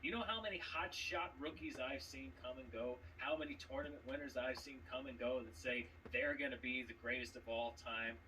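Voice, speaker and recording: A man's voice: medium pitch, a little fast, hardly any pauses, pushed and bright. A man delivering a trash-talk promo as a competitor, cocky and theatrical, landing pointed emphasis on key words. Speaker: trivia champion competitor. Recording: distant mic with background noise.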